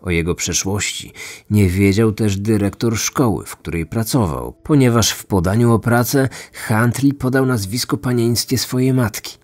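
A man's voice: on the low side, moderate pace, a little mellow. A man hosting a true-crime podcast, reading scripted narration. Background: music.

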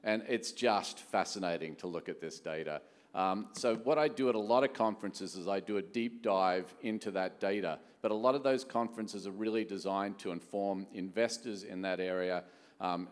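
A middle-aged man gives a conference keynote in a conversational manner, often posing rhetorical questions. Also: lowish voice. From Australia. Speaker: venture capital executive, keynote speaker. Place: large conference hall stage. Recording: echoey hall sound, long reverb.

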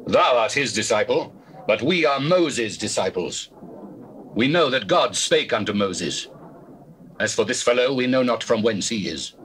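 A scornful male Pharisee in a dramatized scripture recording. He challenges and accuses in a declamatory, mid-pitched voice, on slightly hissy old cassette. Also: animated, unhurried, fairly bright tone. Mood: stern, accusing. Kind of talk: dramatized scripture reading, stage-like acting.

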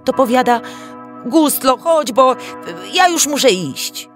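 Woman doing a high-pitched, sweet village maiden. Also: expressive, takes it slowly, soft background music. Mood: puzzled, confiding. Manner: hesitant, confiding. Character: sweet, trusting young village maiden in love.

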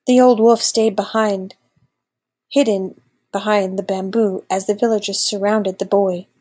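A woman's voice: medium pitch, fairly even intonation, measured pace, mellow, breathy edge. A woman in her thirties reading a children's storybook aloud expressively, like a teacher.

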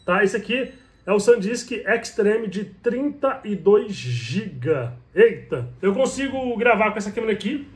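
A man's voice: high-pitched, sing-song, a little slow. A man in his thirties with a Brazilian Portuguese accent, chatting to the camera about his riding gear and checking in with the viewer after nearly every sentence. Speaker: motovlogger. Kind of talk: casual gear tutorial to camera. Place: small bedroom, indoors. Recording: echoey bare room.

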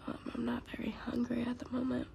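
A woman's voice: a little high, completely flat delivery, quick pace, creaky. A young woman in her twenties, a college student with a cold, chatting casually to a phone camera at home, her throat scratchy and her nose congested. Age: 20s. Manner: whispering softly. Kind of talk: casual vlog chat to camera. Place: small room at home, indoors. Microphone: phone camera held close.

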